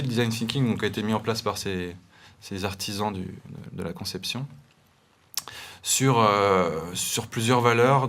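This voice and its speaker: A man's voice: fairly low pitch, fairly bright tone. A young man with an eastern French accent, a designer guest in a studio interview, explaining with many restarts and fillers. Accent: eastern French, Montbéliard area. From eastern France.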